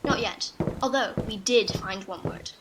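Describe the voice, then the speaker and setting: An actress playing a sceptical, haughty general in an audio drama, wry and authoritative. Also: female voice, mid-range voice, a little slow, background noise, lightly acted. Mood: guarded, authoritative.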